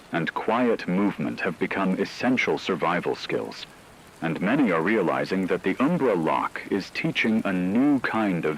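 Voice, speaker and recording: A man playing a grave radio newsman, delivering a scripted emergency report in a measured announcer's manner. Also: low voice, a bit bright, slight background noise, low-quality recording. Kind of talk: scripted fictional radio news narration.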